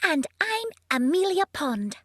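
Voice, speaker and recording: A woman playing an eager, impatient little girl in a children's story, with an excitable, insistent manner. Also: very high-pitched, measured pace, no echo, exaggerated voice. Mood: gleeful. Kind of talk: children's audio drama performance.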